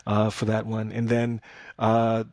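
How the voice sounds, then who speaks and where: Gravelly-voiced male podcast host. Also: on the low side, monotone, measured pace, darkish tone, no echo. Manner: conversational, many fillers and restarts. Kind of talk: casual podcast discussion.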